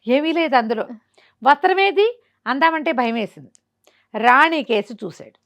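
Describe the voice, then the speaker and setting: A woman's voice: high-pitched, expressive, moderate pace, a bit bright. A woman with a Telugu accent telling a folk tale conversationally, expressively acting out the dialogue of its characters. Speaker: storyteller.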